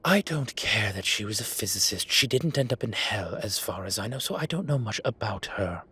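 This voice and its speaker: A man playing an ancient, bookish incubus demon professor in an audio roleplay, dry and exasperated, with halting, sighing delivery. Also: fairly low pitch, animated, bright, forceful tone, a little hiss or hum. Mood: defensive. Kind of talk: audio roleplay acting, intimate one-sided dialogue.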